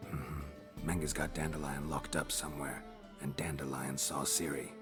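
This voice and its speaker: An actor voicing a gruff, stoic monster hunter in a video game, terse and deadpan with a gravelly low voice. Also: male voice, moderate pace. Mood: earnest, low. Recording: music behind the voice.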